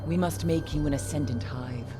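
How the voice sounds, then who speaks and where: An actress playing a grim, haunted woman mystic in a video game, speaking solemnly and deliberately. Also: low voice, close to an everyday voice. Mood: ominous, grave. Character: grim, haunted woman mystic who survived long darkness. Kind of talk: cinematic video game dialogue and narration. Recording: loud music under the voice.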